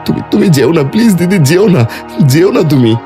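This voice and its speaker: A man's voice: high voice, big pitch swings, brisk, pitched above the performer's own voice. A man voicing a heartbroken young man in a horror cartoon, speaking with vehement emotion. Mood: pleading. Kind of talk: Bengali horror cartoon dialogue. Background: music.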